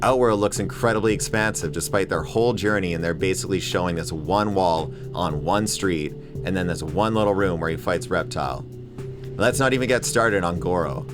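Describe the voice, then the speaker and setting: A man's voice: low voice, normal speed. A man delivering an opinionated, emphatic scripted video essay as host, with casual swearing. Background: music bed under voice-over.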